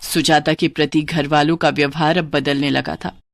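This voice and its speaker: A woman narrating a moral story with a clear, even, steady storyteller's delivery. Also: low-pitched, normal speed, no echo.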